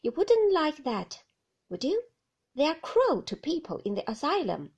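A woman's voice: sits high, huge pitch swings, measured pace, a little mellow, voice pushed up, exaggerated voice. A woman voicing a simple-minded, fearful older man who speaks in broken rustic grammar, lifting her whisper into a voiced, pleading tone. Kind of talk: whispered audiobook reading, voiced aloud for the figure.

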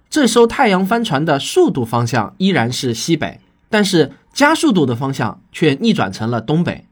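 A man's voice: medium pitch, breathy edge. A man hosting a science explainer podcast in a conversational but prepared way, teaching emphatically and putting rhetorical questions to his listeners. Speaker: host and science fiction author answering listener questions.

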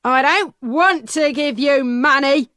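A woman reading the part of a fat, grumpy middle-aged male peddler, slow and hostile. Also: sits high, bright, forceful tone, no echo, clean studio sound, pitched above the performer's own voice, full character voice.

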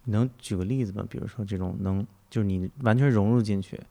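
A man with a slightly low voice hosts a conversational interview. He muses out loud, working through ideas before turning them into probing questions. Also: fast talker, vocal fry. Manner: musing, probing, thinking aloud. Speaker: interviewer, host.

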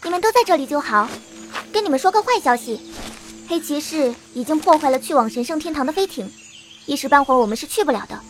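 A woman's voice: high-pitched, lively intonation, quick pace, a little hiss or hum. A woman playing a cute, urgent little girl in an audio drama. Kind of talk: Chinese audio drama acting.

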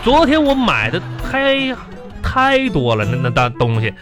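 A man's voice: sits high, wildly swooping pitch, a little slow, full character voice. A man playing an awkward, broke but boastful office suitor in a radio comedy, stammering and rambling in a Northeastern Chinese accent. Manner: stammering, rambling, repeats words. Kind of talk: radio comedy sketch acting. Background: music.

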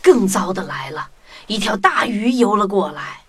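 A woman hosting and narrating a children's audio story in an expressive scripted style, speaking directly to young listeners. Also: medium pitch, normal speed. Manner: addresses children directly, animated.

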